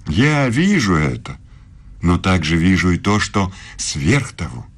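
A low-voiced, lofty philosophy professor. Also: male voice, big pitch swings, a little slow, breathy edge, exaggerated voice. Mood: fervent, lofty. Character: lofty, self-assured male German philosophy professor, evasive lover. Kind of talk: radio play acting.

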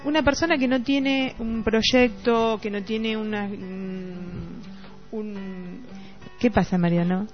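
A woman's voice: mid-range voice, fairly even intonation, takes it slowly. A middle-aged woman with a Rioplatense Argentine accent, talking reflectively and casually as a radio host, often searching for words. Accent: Rioplatense Argentine Spanish. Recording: dull lo-fi radio recording, music behind. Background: music.

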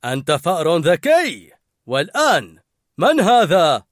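A woman's voice: lowish voice, sing-song, a little slow, no echo, studio quality, pitched above the performer's own voice. A woman doing a kindly old chef mentor. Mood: approving. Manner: warm approving teacher.

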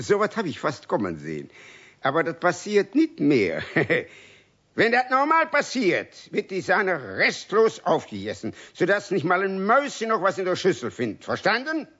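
A man playing a kindly, indulgent older uncle with a husky voice, good-natured and mock-stern, in a children's radio play. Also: sits high, huge pitch swings, moderate pace, fairly bright tone, full character voice. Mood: amused, indulgent. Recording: dull old radio recording.

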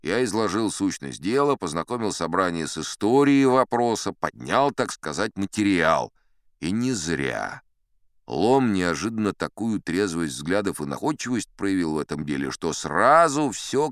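A man's voice: low-pitched, very animated, moderate pace, smooth, no room sound, clean studio sound. A man playing an old sea captain who tells tall tales in a sly, folksy, conversational way, as in a dramatized audiobook. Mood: musing, mock-serious. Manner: conversational, sly, leisurely, addressing a young listener.

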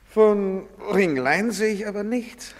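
An actor playing a lecherous, mocking young soldier in a German stage drama, jeering and declaiming with a swagger. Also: male voice, high-pitched, takes it slowly. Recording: echoey theatre-style hall reverb.

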